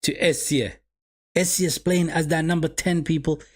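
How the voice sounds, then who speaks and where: A man's voice: on the high side, fairly even intonation, normal speed, breathy edge. Man with a Somali first language, streaming a match. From Sweden. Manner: repeats phrases, addresses viewers as people. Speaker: watch-along streamer. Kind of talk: live match watch-along commentary.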